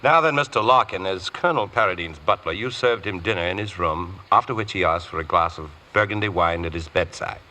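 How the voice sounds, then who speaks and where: A man's voice: low-pitched, brisk, hardly any pauses, bright, forceful tone, slight background noise, poor audio. A man playing a courteous but firm defence barrister in a radio courtroom drama, questioning in a formal, clipped manner. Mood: probing. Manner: formal, clipped cross-examining. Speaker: actor playing defence counsel.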